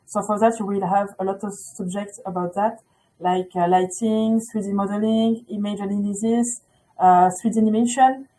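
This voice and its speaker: A woman's voice: medium pitch, steady tone, normal speed, dark tone, a little hiss or hum, poor audio. A woman presenting a school's program in a webinar, talking hesitantly with many filler sounds.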